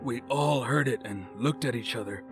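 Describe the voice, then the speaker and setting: A man playing an elderly storyteller, recalling an eerie night in measured, scripted horror narration. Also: medium pitch, close to an everyday voice. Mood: ominous, reminiscing. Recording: clear music bed under narration.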